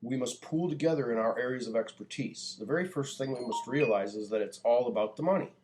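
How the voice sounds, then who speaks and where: An American man speaks as if giving a public address. His delivery is deliberate and declarative, with an earnest, preachy tone suited to a prepared monologue. His voice sits at a middling pitch. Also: background noise. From United States.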